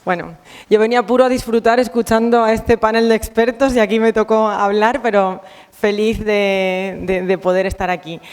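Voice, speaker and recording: A woman with a Spain Spanish accent addresses a conference in a friendly, chatty way, dotting her talk with fillers and restarts. Her voice is smooth and resonant, and long reverb trails behind it from the hall. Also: mid-range voice, fairly even intonation, moderate pace, darkish tone. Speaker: invited consultant speaker.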